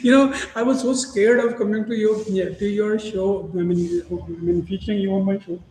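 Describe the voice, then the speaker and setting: A middle-aged man hosting an interview, talking in halting starts with many fillers and frequent laughs. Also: high-pitched, a little mellow. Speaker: podcast host. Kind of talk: casual interview chat. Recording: echoey room with faint ambient noise.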